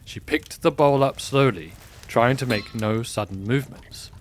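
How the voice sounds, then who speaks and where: A man narrating a scripted fantasy audio drama in a measured, expressive storybook style. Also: mid-range voice, a little hiss or hum. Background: music.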